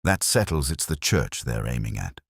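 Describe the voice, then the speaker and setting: A man's voice: very deep voice, big pitch swings, normal speed, gravelly, breathy edge, dry recording, clean studio sound, voice pushed down, full character voice. A man voicing an earnest, dutiful police sergeant reporting deferentially in a mystery story. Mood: urgent, dutiful.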